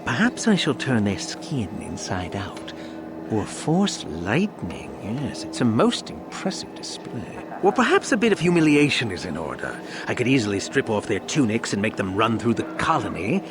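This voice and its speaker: Haughty young Sith, wildly swooping. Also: male voice, lowish voice, big pitch swings, moderate pace, background noise. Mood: haughty menace. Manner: theatrical, haughty, drawling musing. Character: cruel, bored, arrogant young Sith man bullying hopefuls. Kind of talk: video game voice acting.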